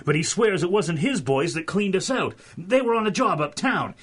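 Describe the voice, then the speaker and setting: An actor playing a folksy, smooth-talking male swindler in a 1930s-style radio drama. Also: high voice, lively intonation, normal speed. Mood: indignant, rattled.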